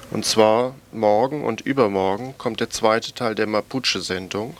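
A man's voice: on the low side, fairly bright tone. A man presenting radio news, reading scripted political reports and programme notes aloud.

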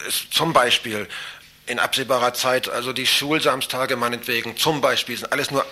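A man's voice: mid-range voice, fairly even intonation, normal speed, sharp tone. A man activist talking informally in an interview, rambling and searching for his words. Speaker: campaign group activist interviewed.

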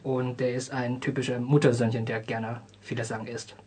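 A man's voice: medium pitch, little pitch movement, brisk, darkish tone, breathy edge. A young man from Taiwan introducing himself as an interview guest in non-native phrasing with small grammar slips. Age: young adult. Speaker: interview guest, student musical performer.

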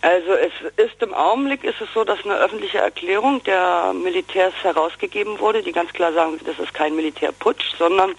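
A woman being interviewed, giving a spontaneous, halting report on current events over a dry phone line. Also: lowish voice, vocal fry, breathy edge, no echo. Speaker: interviewed political observer.

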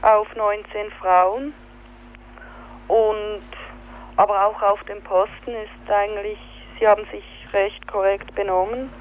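A woman with a Swiss German accent, telling in an interview what happened, halting with fillers and searching for words. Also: medium pitch, little pitch movement, a little hiss or hum, low-quality recording. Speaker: activist interviewee. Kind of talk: spoken account in an interview.

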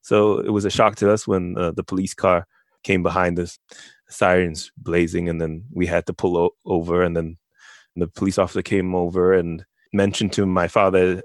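A man's voice: low voice, flat delivery, moderate pace, dry recording, clean studio sound. A man telling a personal story conversationally as a panelist.

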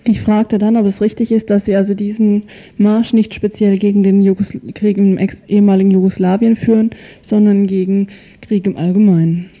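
A woman's voice: mid-range voice, level tone, brisk, hardly any pauses. Dull-sounding woman radio reporter. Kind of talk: spoken consecutive translation and informal radio commentary.

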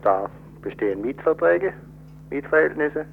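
A man's voice: on the high side, animated, a little slow, vocal fry. A man speaking as a terse official in a telephone interview. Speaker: municipal official answering questions. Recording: noisy, humming phone line.

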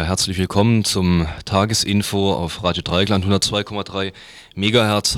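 A man's voice: low-pitched, clean tone, no room sound. A man hosting a radio news magazine in an informal, somewhat hesitant way, speaking into a studio microphone. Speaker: radio host. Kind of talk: community radio news magazine presenting, partly scripted.

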